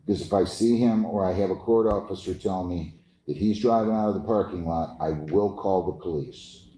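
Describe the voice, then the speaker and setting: A man's voice: deep voice, moderate pace, dark tone. An American man speaking as a judge from the bench, firm and plain-spoken, repeating points for emphasis in an informal courtroom style. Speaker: judge presiding over a court hearing. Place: indoor courtroom. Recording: roomy courtroom echo with faint noise.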